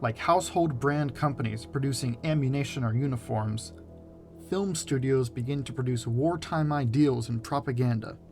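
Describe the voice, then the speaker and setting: A man's voice: mid-range voice, normal speed, a little mellow, soft background music. A man reading scripted narration for a video essay.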